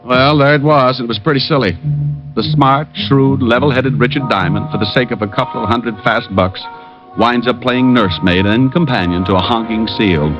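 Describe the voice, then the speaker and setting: An actor playing a wisecracking private detective who also narrates, in 1940s radio acting style. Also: male voice, mid-range voice, normal speed, lightly acted. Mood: wry. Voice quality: dry wisecracking delivery. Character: wisecracking tough private eye, adult man. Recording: dull lo-fi old radio recording with music.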